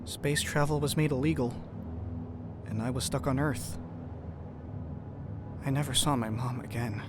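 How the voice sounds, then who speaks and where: An actor playing an earnest, compassionate doctor in a science-fiction audio drama. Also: male voice, medium pitch, unhurried, noisy background, close to an everyday voice. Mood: somber, reflective. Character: earnest grieving male doctor, cautious and compassionate sci-fi traveller.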